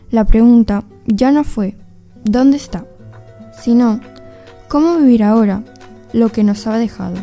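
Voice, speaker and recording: A woman narrates a radio play with reflective, measured phrasing. Her voice is slightly high yet very soft and dark in tone, over faint music.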